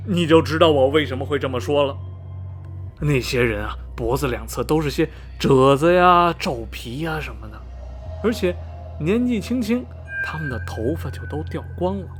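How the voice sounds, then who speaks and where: Animated, gossipy middle-aged townsman played by a man. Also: on the high side. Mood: uneasy, disgusted. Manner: gossipy, sighing exclamations, drawn-out dramatic phrasing. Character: gossipy middle-aged small-town Vermont man, superstitiously repelled yet skeptical. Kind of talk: radio drama acting, animated storytelling.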